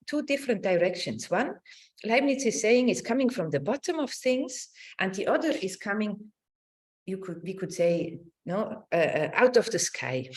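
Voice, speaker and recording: A middle-aged woman giving an academic lecture over a video call, with a halting, searching delivery that circles back over words. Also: mid-range voice. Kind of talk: academic lecture with slides and read quotations. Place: indoors on a video call with screen sharing.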